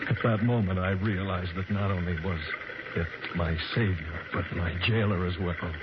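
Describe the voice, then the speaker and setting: A man's voice: deep voice, fairly even intonation, moderate pace, very noisy, lightly acted. Man acting as a wounded narrator, urgent, dramatic, vintage radio play, muffled lo-fi sound. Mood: tense. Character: shaken, wounded grown man, intense storyteller. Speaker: first-person narrator. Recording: dull old radio recording with loud music.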